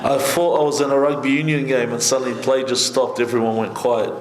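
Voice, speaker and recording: A middle-aged man giving a conversational sermon from a seated position, speaking into a stand microphone with lively gestures and asides. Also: mid-range voice, fairly quick, very noisy. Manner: animated, conversational preaching with many asides, gesturing. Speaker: sheikh giving a majlis lecture. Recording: echoey hall.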